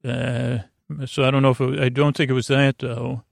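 A man with a creaky voice hosting a bedtime podcast, speaking in a meandering, halting monologue full of goofy tangents and self-interruptions. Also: mid-range voice, flat delivery, dry recording, studio quality. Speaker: podcast host. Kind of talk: rambling bedtime monologue for sleep.

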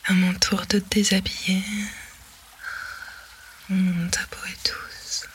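A woman whispering a sensual roleplay to the listener in a breathy, commanding way. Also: medium pitch, flat delivery, slow pace. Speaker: narrator of an intimate audio roleplay.

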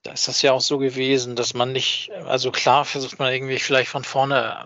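A man's voice: medium pitch, monotone, fairly quick, sharp tone, no room sound. A man co-hosting a fan podcast, chatting casually and searching for words, often checking for agreement. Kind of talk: casual chat about a hobby production. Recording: slightly dull, dry close recording.

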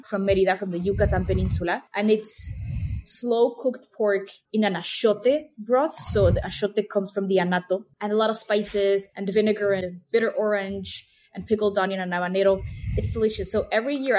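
A woman in her late thirties from Mexico City speaks English with a Mexican Spanish accent, her first language being Spanish. Her voice sits at a middle pitch for a woman. She talks in a chatty, fond storytelling way and slips Spanish words into her sentences. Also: a little mellow. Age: late 30s. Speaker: guest, snack company founder. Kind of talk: casual interview chat.

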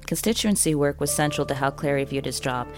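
A woman with a low voice narrates a documentary podcast, reading her script with an even, measured delivery. Music plays under her narration. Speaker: podcast host.

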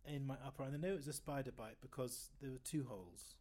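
A man's voice: fast talker, a little mellow. Rambling, chatty man in his fifties. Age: 50s. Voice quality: fairly high male voice. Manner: chatty, rambling storyteller with self-corrections. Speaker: guest oboist. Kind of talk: casual interview chat.

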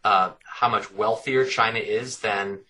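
A man's voice: lowish voice, steady tone, unhurried, pushed and bright. A middle-aged man answering interview questions thoughtfully, often repeating words as he works out his points. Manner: thoughtful, repeats words while working out ideas. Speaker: author guest.

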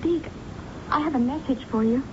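Lady playing a worried young daughter in a 70s radio play, high voice, hesitant, noisy old tape. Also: lightly acted. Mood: uneasy, hesitant. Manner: hesitant, halting, emotional. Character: anxious, earnest young daughter with family troubles. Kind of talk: 1970s radio drama acting. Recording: muffled, noisy 1970s radio broadcast.

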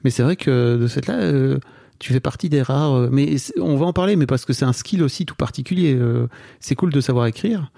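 A man's voice: medium pitch, brisk, gravelly, mellow, dry recording, clean studio sound. A man from northern France hosting a podcast, chatting casually in informal, slangy speech with a teasing edge. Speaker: podcast host. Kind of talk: casual interview chat.

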